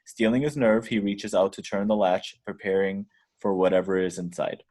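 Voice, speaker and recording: A man's voice: low-pitched, steady tone, normal speed, mellow. A man narrating the action lines of a screenplay at a table read. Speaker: reader of stage directions.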